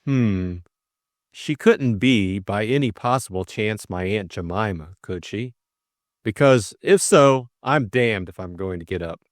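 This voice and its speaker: Man acting a breezy young gentleman, very animated pitch. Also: a little slow, no echo, clean studio sound. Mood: curious, flippant. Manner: breezy, hesitant, mildly bemused. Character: flippant, drowsy young English gentleman of leisure. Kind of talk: audiobook character acting.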